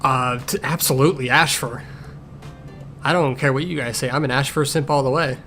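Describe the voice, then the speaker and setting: A man's voice: mid-range voice, steady tone, a little fast, bright, forceful tone. A man voicing a grumpy, sarcastic blind medicine cat in a cartoon fan Q&A, with dry, blunt delivery. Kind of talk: improvised-sounding voice-acted fan Q&A. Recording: music playing behind the voice.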